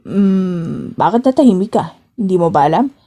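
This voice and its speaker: A woman voicing a cocky, seductive male boss in a romance audiobook, teasing and commanding in a slow delivery. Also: lowish voice, lively intonation, mellow. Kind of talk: romance audiobook character acting.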